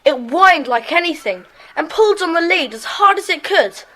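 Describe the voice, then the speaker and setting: An outspoken child from a secret club acted by a woman in a children's drama. The voice is very high and sharp, with animated swings in pitch. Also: normal speed. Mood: eager. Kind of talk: children's audio drama acting.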